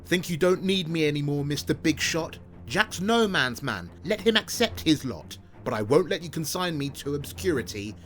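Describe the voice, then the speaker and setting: Audio drama hero gone bad, male actor: boastful, sneering, theatrical rhymes, pitched highish with big swoops. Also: animated, moderate pace, few pauses, fairly bright tone, soft background music. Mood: threatening, boastful. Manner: taunting, pompous, sneering, theatrical. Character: bullying, boastful fictional hero man turned on his author. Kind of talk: rhyming scripted audio drama.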